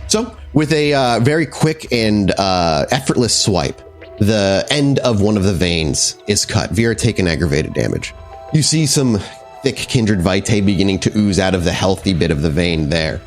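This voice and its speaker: Man, game storyteller, lowish pitch, very lively intonation, descriptive and explanatory, improvised narration. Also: moderate pace, soft background music. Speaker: storyteller running a tabletop game.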